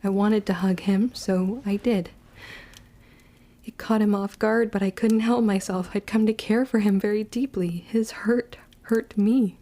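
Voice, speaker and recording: A woman acting a wounded, vengeful young witch who narrates her own story in an audio drama, with deliberate, emotive delivery. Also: mid-range voice, steady tone, warm tone, natural voice. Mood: sorrowful, guilty.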